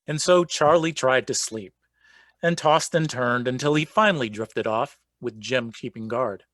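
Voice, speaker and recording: A man's voice: medium pitch, measured pace, fairly bright tone, no echo, natural voice. A man narrating a radio play from a script in storytelling style.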